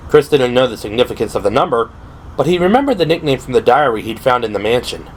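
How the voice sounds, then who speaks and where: A man's voice: mid-range voice, lively intonation, quick pace, slight background noise, low-quality recording. A man delivering scripted, dramatic narration for an audio drama. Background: dramatic background music.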